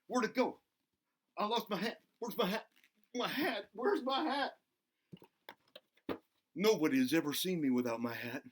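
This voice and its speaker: A man voicing an excitable, goofy buzzard who hosts a children's show, playful and giggly as he talks to himself and to the kids. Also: sits high, a little slow, long pauses, exaggerated voice. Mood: flustered, excited. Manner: giggly, self-interrupting, talks to himself in third person. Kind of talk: playful craft demonstration for children.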